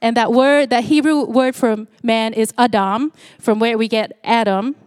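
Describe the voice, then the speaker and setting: A woman's voice: on the high side, steady tone, a little slow, darkish tone, smooth, no room sound, clean studio sound. A woman from Singapore, around her forties, preaching a sermon in a conversational way with frequent fillers and restarts. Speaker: pastor.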